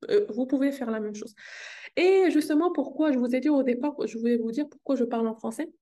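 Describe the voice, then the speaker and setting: A woman's voice: high voice, fairly quick, mellow. A woman, an Arabic speaker, talking in an upbeat, earnest vlog style from a hotel meeting room and repeating phrases for emphasis. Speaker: vlogger. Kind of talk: casual motivational vlog talk.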